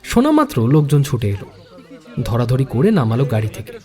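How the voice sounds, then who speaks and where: A man's voice: mid-range voice, wildly swooping pitch, a little fast, dark tone, slightly rough, long reverb tail. A man hosting and narrating an audio story in a scripted storytelling style. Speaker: story narrator and channel host.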